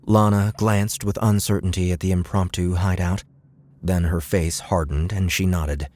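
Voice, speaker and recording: A man's voice: low-pitched, fairly even intonation, moderate pace, darkish tone. A man reading dramatic scripted narration as an audiobook narrator.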